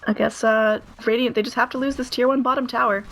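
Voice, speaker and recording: A woman giving live play-by-play commentary on an esports match. Her voice is hoarse, and she keeps up a running narration with frequent "uh" fillers. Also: fairly high pitch, fairly even intonation, fast talker, crowd noise.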